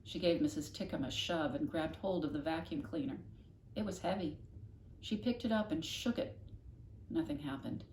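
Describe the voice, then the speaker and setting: A woman reading a children's novel aloud expressively, with dramatic, deliberate emphasis. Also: low voice, darkish tone. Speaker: storybook reader, classroom host. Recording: echoey room, faint noise.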